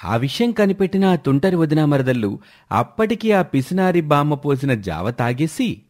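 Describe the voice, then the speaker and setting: A man narrating a scripted moral tale as an expressive storyteller. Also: a little high, moderate pace.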